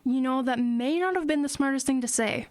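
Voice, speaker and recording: A woman's voice: high-pitched, a little fast, natural voice. A woman playing a practical, inquisitive small-town girl in an audio drama. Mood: puzzling it out.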